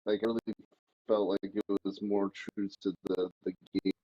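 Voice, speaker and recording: Male panelist, mid-pitched, emphatic and blunt casual talk, recorded dry and slightly muffled. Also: little pitch movement, normal speed, mellow, no echo. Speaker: podcast panelist.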